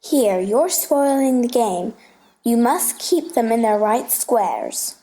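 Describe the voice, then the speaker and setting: A woman's voice: a little high, animated, slow, dark tone. Woman reading as a patient, playful scholarly uncle. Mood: patient, explaining. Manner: patient, explanatory, teasing. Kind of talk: storybook play reading.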